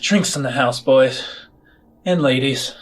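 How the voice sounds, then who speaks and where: A man's voice: medium pitch, slow pace, soft background music. A man voicing a blunt, world-weary woman who owns a bar in a sci-fi tabletop game.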